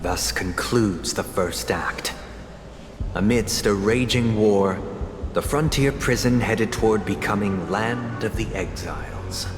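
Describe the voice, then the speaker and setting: An actor playing a solemn narrator of a mythic stage play, speaking in grand, measured, formal lines. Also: male voice, on the low side. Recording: echoey hall reverb, music behind.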